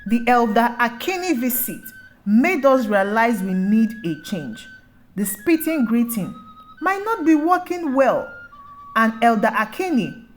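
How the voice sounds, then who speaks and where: A woman voicing a dignified male village chief formally addressing his people in a folktale. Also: fairly high pitch. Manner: formal, deliberate oratory. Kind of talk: folktale storytelling.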